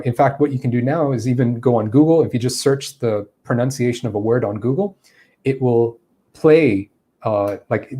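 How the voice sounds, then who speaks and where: A man teaching English, answering viewers' questions in a relaxed explanatory way with frequent fillers. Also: mid-range voice. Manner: explanatory, thinking aloud with fillers.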